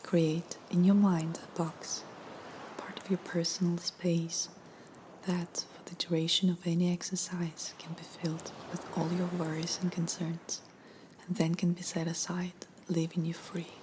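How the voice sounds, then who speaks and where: A woman reading a scripted guided meditation in a soft, breathy, near-whispered voice with pauses. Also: fairly low pitch, level tone, a little hiss or hum.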